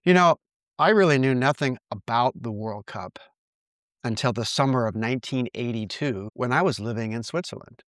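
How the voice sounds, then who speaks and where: A man in his sixties from the United States hosting his own podcast, reading reflective narration in an earnest, measured way. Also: mid-range voice, fairly bright tone, no room sound, clean studio sound. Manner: earnest, measured, reads from a script. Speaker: podcast host.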